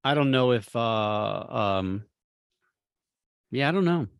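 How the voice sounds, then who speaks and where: An American man chats about sports as a co-host, in a dry, close sound. He is animated and opinionated, rambling along in bursts at an ordinary male pitch. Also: takes it slowly, gravelly, smooth, no room sound. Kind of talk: casual sports chat.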